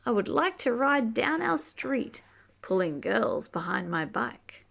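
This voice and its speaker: A woman's voice: a little high, very animated, slow pace, vocal fry, fairly bright tone. A woman reading a children's picture book aloud in a playful manner, drawing out words for emphasis. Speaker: storybook reader. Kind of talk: animated children's read-aloud with asides.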